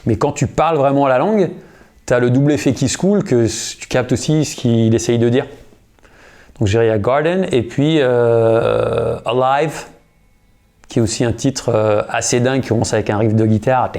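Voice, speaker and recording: A guitarist in his thirties tells anecdotes in a loose, slangy way, pausing and restarting often. His voice is mellow and dark, at an ordinary middle pitch. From United States. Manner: relaxed, slangy, many fillers and restarts. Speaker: guitarist and singer. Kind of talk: casual interview storytelling.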